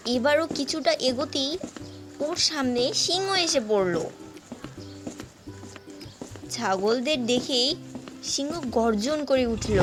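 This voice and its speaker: Female storybook narrator, fairly high voice, very unhurried delivery, music behind.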